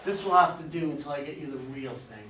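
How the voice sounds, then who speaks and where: Man acting animated investigator boss in echoey room. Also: on the high side, fast, close to an everyday voice. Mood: earnest. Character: suspicious, decisive male investigator boss. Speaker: reader in a community play reading. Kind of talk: staged play reading. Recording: distant mics, echoey room, faint noise.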